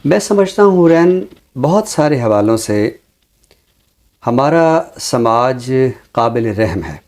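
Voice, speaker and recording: A man with a Pakistani accent giving reflective commentary like a teacher, measured and explanatory, with deliberate pauses. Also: medium pitch, big pitch swings, a little mellow. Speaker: teacher and programme guest. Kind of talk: reflective social commentary in an interview.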